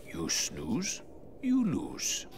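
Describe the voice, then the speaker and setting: Slow, wise old sensei. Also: male voice, medium pitch, huge pitch swings, music in the background, heavily acted. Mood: wise, teasing. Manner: slow, measured, broken-English proverbs. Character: calm, wise old male mutant sensei father. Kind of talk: cartoon video game voice acting.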